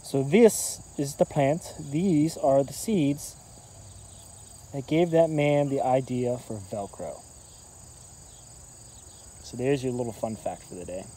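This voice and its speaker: A man's voice: mid-range voice, slow pace, pauses often, dark tone, a little hiss or hum. Easygoing man guiding a nature walk. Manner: easygoing, explanatory, loose filler words. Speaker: environmental educator. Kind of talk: casual outdoor nature walk lesson. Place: outdoors in woods and trail meadow. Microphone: phone camera at arm's length. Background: faint crickets and insects.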